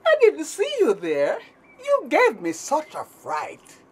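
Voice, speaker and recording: Slow, wildly expressive woman storyteller. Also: high-pitched, slight background noise. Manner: dramatic, repetitive build-ups and pauses. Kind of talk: animated oral storytelling for children.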